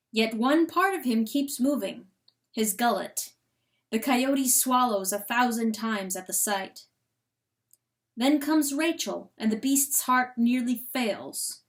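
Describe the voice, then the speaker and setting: A woman giving a theatrical, emphatic dramatic reading of a story as an audiobook narrator. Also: high-pitched. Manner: theatrical, emphatic, rhetorical questions.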